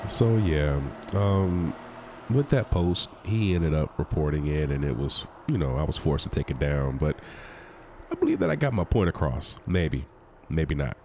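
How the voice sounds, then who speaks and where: A man's voice: extremely low pitch, sing-song, unhurried, dark tone, slight background noise. A man hosting his own podcast in a loose, personal monologue, sounding a little nasal from a cold. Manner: conversational, loose and personal, with asides. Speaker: podcast host. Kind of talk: casual solo podcast monologue.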